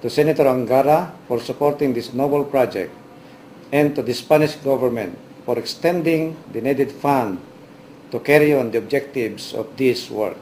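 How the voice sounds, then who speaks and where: A man's voice: medium pitch, moderate pace, a little mellow, slight background noise, low-quality recording. A man giving a formal prepared address, thanking the project's supporters. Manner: formal, grateful. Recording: music playing underneath.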